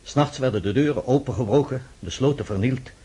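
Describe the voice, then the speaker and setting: A dutiful officer serving an emperor in a radio drama. His voice is markedly husky and breathy, mid-pitched, and he reports in a formal, sober, deferential way. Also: brisk, warm tone. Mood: grave, reporting. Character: deferential, dutiful male military aide to an emperor.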